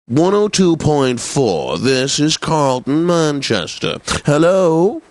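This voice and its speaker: An actor playing a wry, pompous classical radio DJ in a video game, delivering announcements in a polished, theatrical manner. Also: male voice, fairly high pitch, sing-song, slow, darkish tone, no room sound. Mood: smooth announcing. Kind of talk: radio weather reports and station idents.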